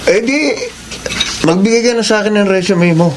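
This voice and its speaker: A man's voice: high-pitched, normal speed, close to an everyday voice. Guy voicing a friendly helpful young clerk, laughy, hissy radio play. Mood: cheerful. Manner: cheerful, helpful. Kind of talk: radio drama acting. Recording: noisy radio drama recording.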